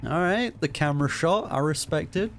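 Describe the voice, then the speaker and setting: A man's voice: on the high side, lively intonation, moderate pace, soft background music. A man doing casual, chatty reaction commentary while playing a video game. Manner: chatty, chuckling. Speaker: gaming streamer.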